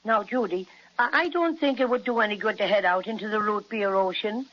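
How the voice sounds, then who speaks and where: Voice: medium pitch, brisk, muffled recording, slight background noise, lightly acted. An actor voicing a small, feisty teddy bear with an Irish accent in an old children's radio serial. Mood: cautious, scolding.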